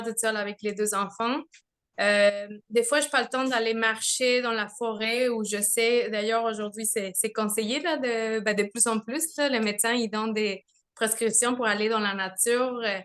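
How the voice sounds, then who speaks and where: A woman's voice: a little high, fairly even intonation, moderate pace, a bit bright. A young woman from Mexico, a mother, giving a chatty testimony from home over a video call, full of fillers and small laughs. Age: young adult. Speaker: testimonial speaker. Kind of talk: casual spoken testimony. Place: home, with children nearby.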